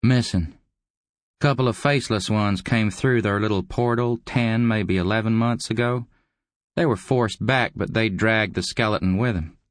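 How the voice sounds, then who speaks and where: A man's voice: fairly low pitch, normal speed, no room sound, clean studio sound, voice pushed up, lightly acted. A man voicing a cocky, taunting killer-for-hire in an audiobook. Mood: casual, informative. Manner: casual, taunting. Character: cocky, mocking male killer-for-hire with easy drawl. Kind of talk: audiobook character acting.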